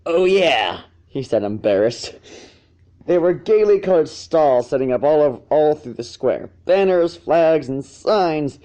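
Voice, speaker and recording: A man's voice: a little high, warm tone, highs cut off. A man narrating fan fiction as an amateur reader, stumbling and rereading phrases now and then.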